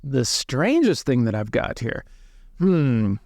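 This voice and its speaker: A man's voice: medium pitch, sing-song, takes it slowly, a little mellow, dry recording, studio quality. A man voicing an old, boastful, eager antiques seller in a tabletop game, rambling through his sales patter. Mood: eager salesmanship.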